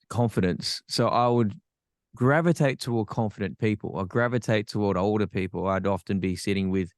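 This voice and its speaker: A man's voice: deep voice, clear, resonant tone, no echo, clean studio sound. An Australian man, a podcast guest, telling his life story frankly with self-deprecating humor. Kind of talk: candid personal storytelling.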